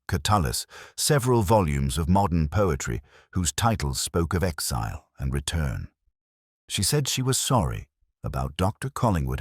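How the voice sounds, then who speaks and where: Really low male voice narrating a detective story, measured and reflective, lively intonation, dry close sound. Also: breathy edge, dry recording. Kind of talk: scripted first-person detective story narration.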